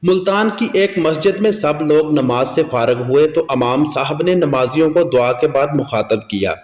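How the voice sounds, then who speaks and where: A man's voice: on the high side, animated, normal speed, a little mellow. A man narrating a scripted moral tale as a storyteller. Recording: echoey with long hall reverb.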